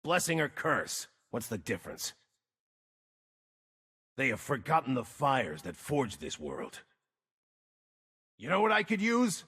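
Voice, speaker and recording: A very husky, gravelly game mage, weary and brooding. His grim, terse lines swing widely in pitch and ring with long reverb. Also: big pitch swings, slow pace, pauses often, a bit bright, exaggerated voice. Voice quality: raspy, gravelly. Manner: terse, grim, theatrical delivery. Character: weary, gruff, brooding wandering mage guarding dangerous runes. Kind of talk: video game character voice lines.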